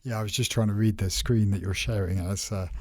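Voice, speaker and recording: Low-voiced easygoing male co-host. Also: fairly even intonation, fast talker, a little mellow, dry recording. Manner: easygoing, agreeable, skeptical asides. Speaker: podcast co-host. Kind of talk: casual tech chat.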